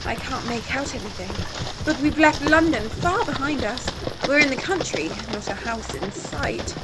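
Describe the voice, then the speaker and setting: A woman's voice: sits high, expressive, moderate pace, heavy background noise. A woman playing a frightened, indignant young heiress in an old-time radio melodrama, theatrical and pleading. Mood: anxious.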